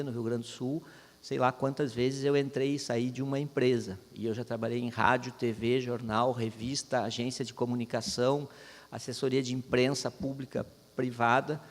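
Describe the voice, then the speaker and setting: Man lecturing in an echoing hall. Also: mid-range voice. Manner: thoughtful, many restarts and fillers. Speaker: guest speaker, veteran journalist. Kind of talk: talk to university students. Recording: long hall reverb.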